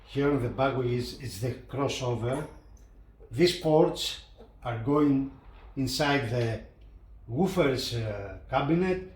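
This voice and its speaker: A man presenting a hi-fi review in a casual hands-on style, talking haltingly and repeating phrases as he points things out. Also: medium pitch, lively intonation, a little mellow. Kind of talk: casual hands-on video commentary.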